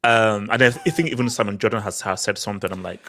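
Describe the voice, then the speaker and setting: A man from Nigeria co-hosting a football podcast, talking in an animated, emphatic way and often repeating words as he builds a point. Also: on the low side, quick pace. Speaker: podcast co-host. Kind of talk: casual football discussion.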